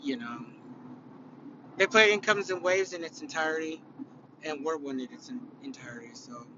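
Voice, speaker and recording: A man from California with a raspy, spent voice gives a rambling, profane rant, heavy with fillers and false starts. His voice sits a little high for a man. The audio is dull and lo-fi, with clear vehicle noise running underneath. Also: moderate pace. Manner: rambling, profane, opinionated, with many fillers and restarts. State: raspy, worn-out voice after concerts. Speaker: podcast host. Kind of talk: casual solo podcast rant.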